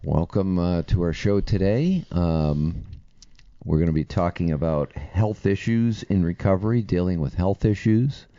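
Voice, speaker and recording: Middle aged guy hosting a podcast, kinda hoarse, super low voice, lots of ums, dull lo-fi audio. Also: a little slow, warm tone, no echo. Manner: rambling, lots of fillers and restarts. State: slightly hoarse from yelling. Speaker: podcast co-host. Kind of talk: casual podcast conversation.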